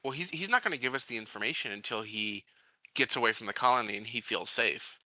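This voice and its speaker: A man in casual in-character discussion at a tabletop game, reasoning things out with pauses. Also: medium pitch, sharp tone, no echo, rough recording. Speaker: tabletop role-playing player.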